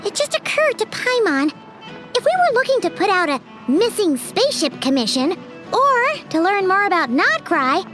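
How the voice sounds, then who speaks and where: A woman's voice: very high voice, sing-song, normal speed, a bit bright, full character voice. A woman voicing a tiny, chatty female companion sprite who talks about herself in the third person, in video game dialogue with music behind. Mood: cheerful.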